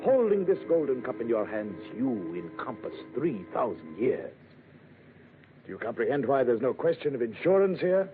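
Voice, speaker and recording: Male actor as pompous antiquities dealer, theatrical formal diction, very lively intonation, old radio drama, thin tinny sound. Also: mid-range voice, moderate pace, close to an everyday voice. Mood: reverent. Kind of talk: old-time radio detective drama acting. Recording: tinny vintage radio, faint music.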